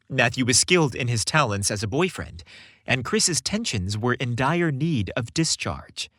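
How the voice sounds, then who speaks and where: Slow, expressive male storyteller. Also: mid-range voice, no room sound, studio quality. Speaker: story narrator. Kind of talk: scripted narration of a romantic audio story.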